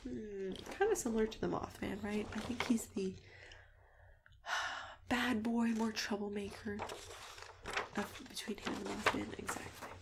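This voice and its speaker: Soft whispery ASMR woman with creaky voice. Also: medium pitch, fairly even intonation, measured pace, long pauses, noisy background. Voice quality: soft, breathy, often dropping to a whisper. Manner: gentle, chatty, hesitant, reassuring. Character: friendly female matchmaker for monsters. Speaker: ASMR host playing a matchmaker. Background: computer keyboard typing and clicks.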